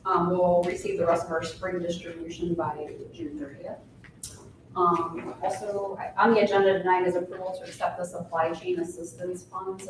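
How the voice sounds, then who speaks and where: Woman, finance director, lowish voice, matter-of-fact, very echoey, background hum. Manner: matter-of-fact, many ums, reads out sums. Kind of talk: meeting report. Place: large meeting room with long tables. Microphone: table mic from far off. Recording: echoey boardroom with steady hum, far off.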